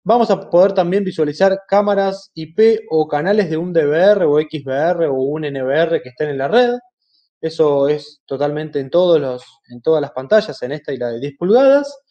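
A middle-aged man with an Argentine Spanish accent explaining products in a webinar, in a halting, explanatory way. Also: fairly high pitch, expressive, warm tone, dull audio. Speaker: webinar presenter. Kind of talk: product presentation over slides.

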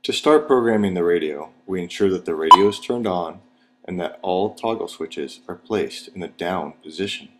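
A man reading scripted instructional narration for a training video in a measured, step-by-step, plain manner. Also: deep voice, expressive, fairly bright tone.

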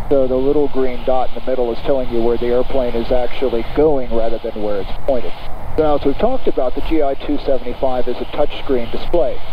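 A man in his fifties walks through cockpit instruments in a calm, methodical way. He talks through a headset in flight, so the sound is muffled and dull. A steady engine roar sits clearly under his voice. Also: medium pitch. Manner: technical, methodical, explanatory. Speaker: aviation magazine editor. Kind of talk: in-flight product review narration. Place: small aircraft cockpit in flight. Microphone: headset boom mic. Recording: muffled intercom audio with engine noise.

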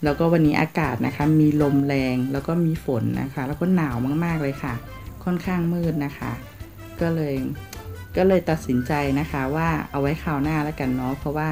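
A woman from Thailand narrating a casual travel vlog, polite and chatty, with soft endings on her sentences. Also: low-pitched, fairly even intonation, brisk, soft background music. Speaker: travel vlogger. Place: outdoors in a city, partly on a tram.

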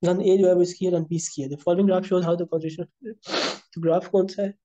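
A man's voice: high-pitched, flat delivery, moderate pace, mellow, lo-fi sound. A man teaching chemistry over an on-screen worksheet, working through problems step by step. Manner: explains step by step, addresses students as beta, mixes English terms into Hindi. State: slightly sore throat. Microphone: voice-over on screen recording.

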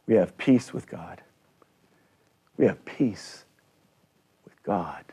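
A male pastor delivering a church sermon in deliberate, emphatic short phrases with repetition. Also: on the low side, lively intonation, mellow, husky. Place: indoors in a church at a lectern. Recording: dull, slightly lo-fi church recording.

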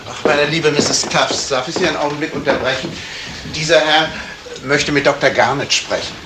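An actor playing a courteous, self-assured gentleman sleuth in a 1970s radio crime drama, polite and soothing. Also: on the high side, steady tone, normal speed, natural voice. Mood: courteous, placating. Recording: vintage radio recording, faint hiss.

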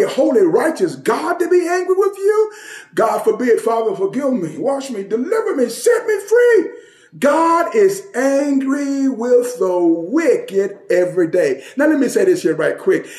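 A man preaching a fiery sermon, often shouting and calling out "Huh?" to the congregation. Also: very high-pitched, reverberant.

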